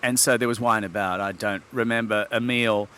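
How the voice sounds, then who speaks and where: A middle-aged man from Sydney speaks in a fairly low voice. He is articulate and a little wordy, with hesitant fillers and small laughs. A faint noise sits under the recording. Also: steady tone, moderate pace, pushed and bright. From Sydney, Australia. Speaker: wine expert guest. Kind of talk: relaxed interview talk.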